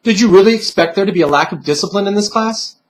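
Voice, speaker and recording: A man's voice: sits high, fast talker, voice pushed up, close to an everyday voice. A man voicing a stern, patronizing bunny pin who lectures in formal phrases in a storybook reading. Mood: solemn, admonishing.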